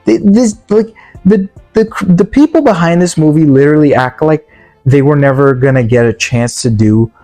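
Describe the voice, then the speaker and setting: A reviewer giving a casual, opinionated movie rant in an exasperated, halting way, often repeating words. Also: mid-range voice, warm tone, faint music behind. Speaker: video reviewer.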